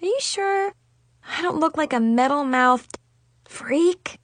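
Insecure young schoolgirl for an audiobook, voiced by a woman: high, sniffly, hesitant, softly anxious. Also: animated, unhurried, no echo. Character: shy, anxious, insecure schoolgirl with new braces.

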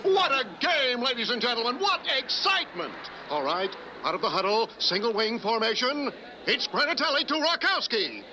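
An actor voicing an emphatic, excitable television football announcer in a cartoon parody of sports commentary. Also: male voice, very high-pitched, moderate pace. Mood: thrilled. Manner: emphatic, breathlessly excited build-ups. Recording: loud noise behind broadcast voice.

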